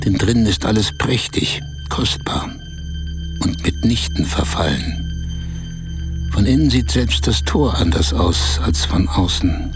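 A roguish, enraptured storyteller in a radio drama. He lingers on short emphatic phrases at a slow pace, with hugely varied pitch. Music plays clearly behind him. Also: full character voice. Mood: conspiratorial, marvelling. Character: Augusto, earthy, captivated male storyteller with roguish appetites. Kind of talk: radio play narration in present tense.

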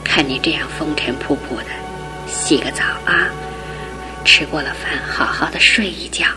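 An actress playing a gentle older aunt who raised her niece, speaking softly and tenderly in a half-whisper over music. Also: deep voice, normal speed, close to an everyday voice. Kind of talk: 1970s radio drama acting.

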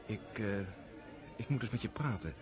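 A man's voice: fairly low pitch, lively intonation, normal speed, crowd noise, natural voice. An actor playing a serious young nobleman who talks in a reserved, hesitant way and sometimes stammers.

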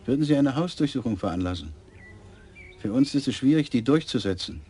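An actor playing a dogged, officious tax official in a radio crime play. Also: male voice, mid-range voice, lively intonation, fairly quick, dark tone, a little hiss or hum, lightly acted. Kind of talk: radio drama acting.

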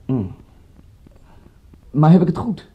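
An actor in a radio drama playing a shady, probing gentleman who is formal, courteous and insinuating. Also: a little high, big pitch swings, slow, slightly rough, heavily acted. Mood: inquisitive, insinuating. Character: smooth-mannered, probing, shady gentleman with information to sell. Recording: muffled, dull old radio recording.

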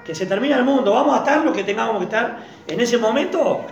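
A man's voice: very high voice, animated, quick pace, highs cut off. Rioplatense guy explaining his take at bible study, roomy echo, faint music. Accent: Rioplatense Spanish. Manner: explains at length, thinking aloud. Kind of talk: group Bible study discussion.

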